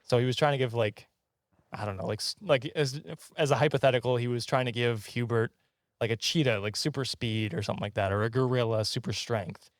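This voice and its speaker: A man's voice: mid-range voice, steady tone, fairly quick, no echo, clean studio sound. A man co-hosting a podcast, telling a comic story casually, tripping over his words, giggling and swearing.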